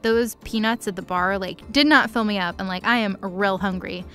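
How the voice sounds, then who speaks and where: A woman's voice: mid-range voice, normal speed, a bit bright, faint music behind, natural voice. A woman voicing a hungry, fun-loving little girl who is chatty and whiny, in a comedic recap. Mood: easygoing. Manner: chatty, plaintive.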